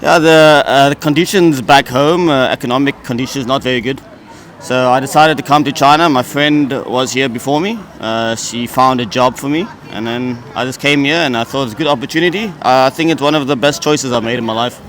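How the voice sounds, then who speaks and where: A man's voice: medium pitch, brisk, fairly bright tone. Plain-spoken South African man outdoors. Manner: short, simple sentences. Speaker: interviewee. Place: city street outdoors.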